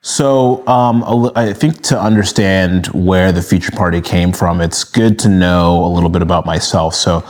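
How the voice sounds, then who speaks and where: A man in his thirties from Nigeria, raised in Minnesota, answering interview questions in a relaxed, steady storytelling way. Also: low-pitched, normal speed, few pauses. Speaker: guest, media brand co-founder.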